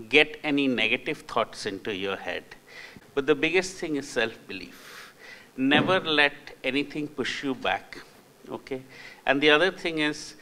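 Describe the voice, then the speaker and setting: A man's voice: fairly high pitch, sharp tone, rough recording. A middle-aged man panelist speaking encouragingly and emphatically into a handheld mic.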